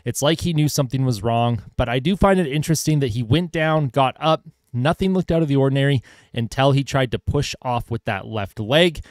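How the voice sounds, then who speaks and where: Male podcast host, mid pitch, smooth clear tone, dry close sound, casual opinionated sports talk with fillers, slightly hoarse. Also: fairly quick, no room sound. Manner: conversational, filler-heavy, opinionated. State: voice going hoarse. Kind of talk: solo sports commentary podcast.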